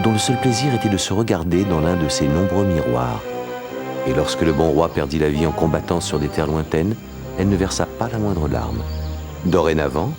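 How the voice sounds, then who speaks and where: Music plays under a fairy-tale narrator with a very deep bass voice. The scripted storybook delivery is measured and dramatic, as for an animated film. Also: male voice.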